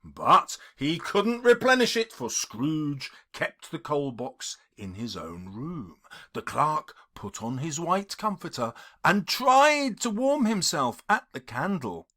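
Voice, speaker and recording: A man reading literary narration as an audiobook narrator, theatrical and emphatic, with measured phrasing. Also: a little high, sing-song, pushed and bright. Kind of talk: dramatic scripted literary narration.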